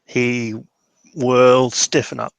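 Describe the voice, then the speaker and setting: A man with a creaky, mid-pitched voice improvises roleplay dialogue. He speaks haltingly, circling back to repeat phrases, and the sound is close and dry. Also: flat delivery, no echo, lo-fi sound. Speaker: tabletop roleplaying player. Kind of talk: improvised in-character roleplay with some narration.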